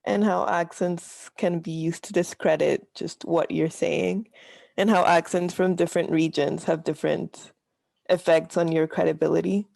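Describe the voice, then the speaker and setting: Low gravelly woman w light mexican accent on a video call, halting, pretty dry. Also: steady tone, darkish tone, no room sound. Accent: light Mexican Spanish. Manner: hesitant, halting. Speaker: student participant.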